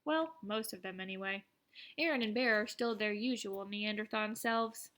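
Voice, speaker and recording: A woman reading aloud as an excitable, boastful, self-deprecating teenage schoolboy who tells his story in an animated, wry, conversational way. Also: medium pitch, moderate pace, lightly acted. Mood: proud, chatty. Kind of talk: first-person audiobook narration.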